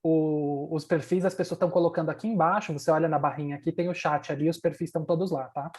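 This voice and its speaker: Husky-voiced man moderating a call, relaxed and directive. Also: a little high, fast talker. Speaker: meeting moderator. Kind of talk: casual video call chat. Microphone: video call.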